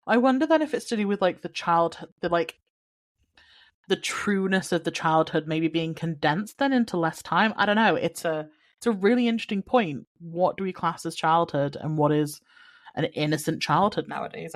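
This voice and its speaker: A woman with a notably low voice co-hosts a casual podcast. She chats freely, agreeing warmly with her co-host and sprinkling "like" through her talk. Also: moderate pace. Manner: chatty, lots of likes and agreeing mms.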